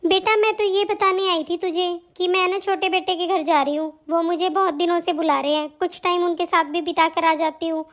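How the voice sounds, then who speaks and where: A woman's voice: very high-pitched, fairly even intonation, a little fast, hardly any pauses, fairly bright tone. A woman voicing a fretful, affectionate older mother-in-law in a family cartoon, with a plaintive, homely way of talking. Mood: gentle. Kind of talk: Hindi family cartoon story dialogue.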